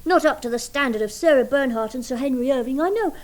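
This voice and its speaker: High-voiced, theatrical English schoolboy. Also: female voice, lively intonation, fast talker. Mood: insistent, reproachful. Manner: declaiming, mock-formal, insistent. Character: precocious, theatrical young schoolboy son, earnest and defiant. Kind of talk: radio play acting.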